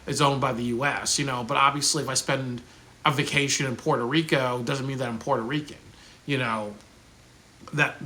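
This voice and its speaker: A man's voice: mid-range voice, fairly even intonation, normal speed, pushed and bright. A man giving a casual, rambling spoken review, full of fillers like "um" and "you know" and frequent restarts. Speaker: video reviewer.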